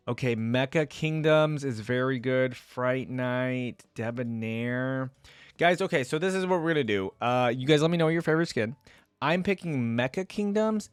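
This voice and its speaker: A man's voice: mid-range voice, dry recording. A man hosting a reaction video, commenting casually and informally as he thinks aloud.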